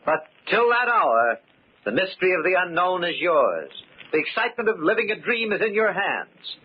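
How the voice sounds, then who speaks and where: An actor playing a grave, formal masquerade host in a 1940s radio mystery, speaking deliberately and dramatically. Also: male voice, high voice, very animated, husky, exaggerated voice. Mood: grand, ceremonious. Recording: muffled, faintly noisy old radio recording.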